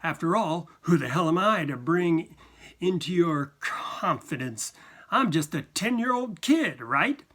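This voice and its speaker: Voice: mid-range voice, lively intonation, unhurried, a bit bright. A narrator acting an angry, sarcastic young boy. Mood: indignant, sarcastic. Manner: snapping, indignant, sarcastic. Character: angry sarcastic ten-year-old boy, fed up.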